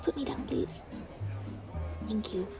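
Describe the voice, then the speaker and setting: A woman's voice: takes it slowly, mellow, far from the mic, faint music behind, lightly acted. A woman playing an innocent, chatty young child in an audio roleplay, eager and rambling. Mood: thrilled.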